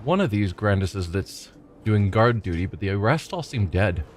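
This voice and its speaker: Stuffed-up guy chatting through his game, lowish voice, kinda musing out loud. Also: animated, normal speed, slight background noise. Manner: musing, chatty, thinking aloud. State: congested. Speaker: streamer playing a game. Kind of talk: casual let's play commentary. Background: game music.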